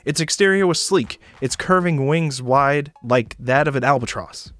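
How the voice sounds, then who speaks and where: A man reading a story aloud as a streamer, in expressive narration with casual asides. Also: medium pitch, normal speed. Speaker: streamer narrating a visual novel.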